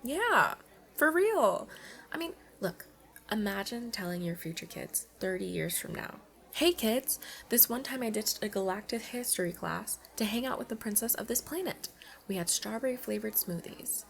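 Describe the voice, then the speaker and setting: A woman's voice: mid-range voice, animated, moderate pace, vocal fry, fairly bright tone. A woman playing a playful, pleading young alien princess at college in an ASMR roleplay, chatting and coaxing the listener directly.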